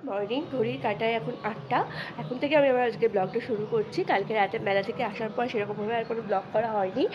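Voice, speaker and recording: A young woman vlogger speaks in a West Bengal Bengali accent, addressing viewers in an easy conversational way. Her voice sits a little high and moves quickly. There is clear noise behind her. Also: fairly even intonation, highs cut off. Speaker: daily vlogger. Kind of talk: casual vlog chat to viewers.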